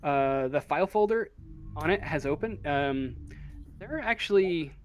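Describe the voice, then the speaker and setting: A man improvising tabletop game narration as game master, informal and halting as he feels his way through descriptions. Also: a little high, animated, soft background music.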